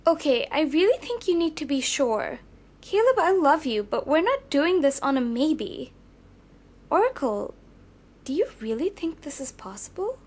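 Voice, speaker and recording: Actress as protective young heroine for audio drama: high voice, firm and earnest, careful about risks. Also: female voice, sing-song, measured pace, darkish tone. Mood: wary, firm. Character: cautious, firm young superheroine, protective of friends.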